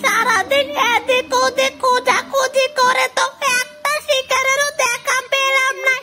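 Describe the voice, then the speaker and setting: A performer voicing a hungry flesh-eating jungle ghoul in a horror drama, whining and gloating in drawn-out wails. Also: very high-pitched, level tone. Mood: whining, starving. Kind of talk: low-budget horror drama acting. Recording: echoing voice under loud music.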